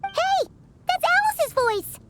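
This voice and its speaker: Very high, squeaky childlike fairy sidekick. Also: female voice, sing-song, slow pace, heavy background noise, exaggerated voice. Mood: curious. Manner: chirpy, exclamatory, refers to self in third person. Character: tiny excitable childlike floating fairy companion, female. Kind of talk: video game character voice acting.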